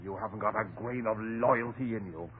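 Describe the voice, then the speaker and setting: An actor playing an exasperated, wounded husband with emphatic, pleading delivery in a melodramatic 1930s radio drama. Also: male voice, lowish voice, normal speed, a little hiss or hum, close to an everyday voice. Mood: fed up. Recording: tinny, muffled 1930s radio broadcast.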